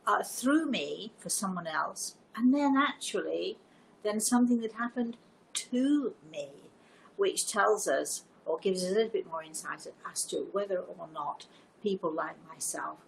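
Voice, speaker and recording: An older woman hosting a casual livestream, chatting to viewers in a deliberate way with emphatic repetition. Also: mid-range voice, vocal fry, rough recording. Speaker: live show host and storyteller. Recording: noticeable background noise.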